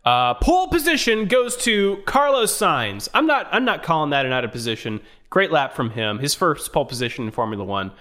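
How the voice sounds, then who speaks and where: Man, slightly high voice, animated melody, casual motorsport podcast host, upbeat presenting. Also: brisk, few pauses.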